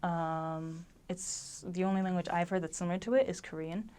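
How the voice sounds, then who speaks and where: Low flat-voiced young woman rambling. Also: moderate pace, clean tone. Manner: rambling with many ums and likes. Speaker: former resident giving advice. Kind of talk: casual advice talk.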